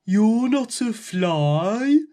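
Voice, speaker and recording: A man voicing a huge, lonely storybook giant in a loud, slow, drawn-out voice. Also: high voice, expressive, pitched above the performer's own voice, full character voice. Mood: startled, booming.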